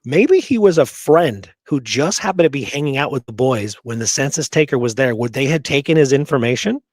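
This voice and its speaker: A man's voice: medium pitch, lively intonation, brisk, no echo, studio quality. A man hosting a casual talk on research, conversational and thinking aloud as he presents. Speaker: host.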